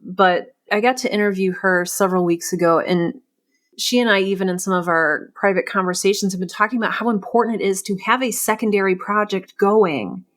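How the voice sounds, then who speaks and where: A woman's voice: mid-range voice, a little fast. A woman hosting a podcast in a casual interview conversation, thinking aloud reflectively in broken phrases. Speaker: podcast host and audio drama creator.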